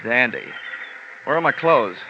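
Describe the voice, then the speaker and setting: An actor playing a tough, wry insurance investigator in a hard-boiled 1950s radio detective style. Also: male voice, mid-range voice, big pitch swings, measured pace, background noise. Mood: dry, resigned. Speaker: narrator and lead. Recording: dull old radio recording with music.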